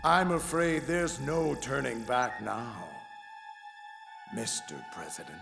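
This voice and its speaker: Slow, gravelly scheming rebel leader. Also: male voice, mid-range voice, very animated, exaggerated voice. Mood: persuasive, grave. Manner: slow, grandiose, deliberate. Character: scheming calculating middle-aged man, rebel leader of a secret army. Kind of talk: dramatic video game voice acting. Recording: long reverb, music underneath. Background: clear music.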